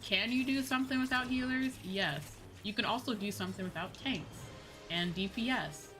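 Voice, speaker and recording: A woman's voice: medium pitch, moderate pace, bright, forceful tone. A woman livestreaming a game, chatting casually and thinking aloud in a rambling, informal way. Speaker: livestreamer playing an online game. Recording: music behind the voice. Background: game music.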